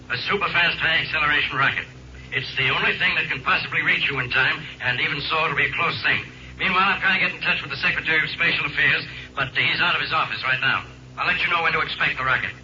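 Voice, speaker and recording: An American man playing a brisk, grave army general in a 1950s radio drama, businesslike and urgent. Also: on the high side, pushed and bright. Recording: dull, noisy 1950s radio recording.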